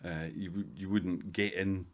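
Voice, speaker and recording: A Scottish man in his fifties, a hairdresser guest in a casual interview, talking in a dry, self-deprecating, measured way. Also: deep voice, fairly even intonation. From Scotland. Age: 50s.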